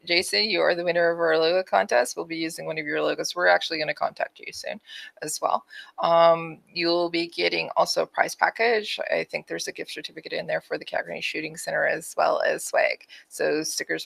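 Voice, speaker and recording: A woman's voice: lowish voice, fairly even intonation, normal speed, gravelly, a bit bright, no room sound. A woman with a Canadian accent co-hosting a podcast, chatting casually and reading out announcements. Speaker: podcast co-host.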